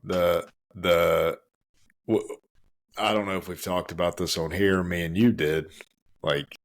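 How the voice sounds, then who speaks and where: Fired-up man ranting, voice low-ish. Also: steady tone, measured pace. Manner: emphatic, full of restarts and stammers; swings up high when worked up. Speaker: podcast co-host. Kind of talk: casual chat.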